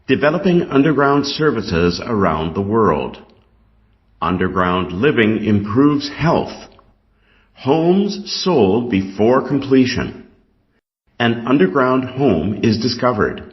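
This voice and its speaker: A Canadian man reading scripted test material aloud in a deliberate, instructional way. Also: mid-range voice, big pitch swings. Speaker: reader of an English exam reading passage. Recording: dull, lo-fi sound.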